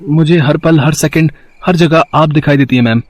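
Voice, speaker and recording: A man's voice: a little high, normal speed, no room sound, close to an everyday voice. A young man voicing an infatuated male student in a Hindi audio story, earnest and pleading, often starting with a hesitant "uh".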